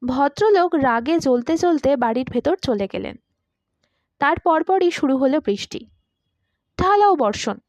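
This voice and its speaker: A woman reading a literary short story aloud in an expressive, dramatised narrating style. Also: sits high, a little slow, no echo. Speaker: story reader.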